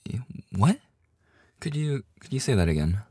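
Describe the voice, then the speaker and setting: A man's voice: mid-range voice, very animated, moderate pace, a little mellow, slightly rough, dry recording, clean studio sound. A man playing a devoted, slightly obsessive boyfriend in an intimate ASMR audio roleplay, with a hesitant, stammering manner. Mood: incredulous. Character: devoted, nervously joking boyfriend hiding obsessive yandere streak. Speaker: voice actor.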